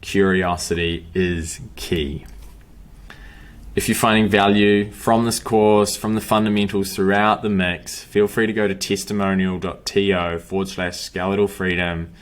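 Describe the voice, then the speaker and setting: A man's voice: low voice, level tone, unhurried. A young man coaching runners, wrapping up a course in upbeat, motivational short phrases. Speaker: running and breathing coach. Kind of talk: course wrap-up talk to camera.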